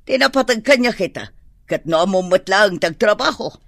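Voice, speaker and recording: A woman acting a demanding, wealthy mistress of the house in a radio drama, brisk, fussy and commanding. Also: medium pitch, expressive, a bit bright, no echo, clean studio sound. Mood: stern, bossy. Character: imperious, demanding wealthy mother and lady employer.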